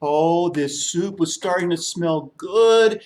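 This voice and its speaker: A man's voice: high voice, slow pace, darkish tone. A man from the United States telling a story to young children as a puppet show host.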